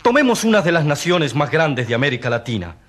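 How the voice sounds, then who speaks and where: Earnest, animated doctor testifying. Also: male voice, a little high, a little fast. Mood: grave, emphatic. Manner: emphatic, expository. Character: earnest doctor witness, long among peasants, gravely concerned. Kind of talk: courtroom radio drama acting.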